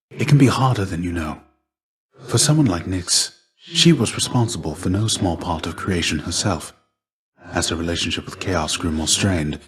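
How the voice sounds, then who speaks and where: An actor playing the ghost of a fallen Greek warrior in a video game, a gentle, fatherly mentor with measured, formal, old-fashioned phrasing. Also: low-pitched, close to an everyday voice. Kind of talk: video game character dialogue.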